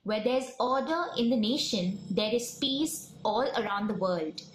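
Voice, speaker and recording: A young woman from India with an Indian accent, reciting a poem in a measured, declamatory way. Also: a little high, lively intonation. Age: young adult. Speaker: poem presenter. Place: small room at home. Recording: roomy home recording with noise.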